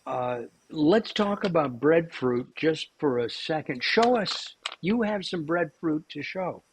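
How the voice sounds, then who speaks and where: A man's voice: on the high side, animated, slow pace, slight background noise. A man hosting a cooking show, chatting casually with lots of fillers and restarts. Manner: rambling, many fillers and restarts.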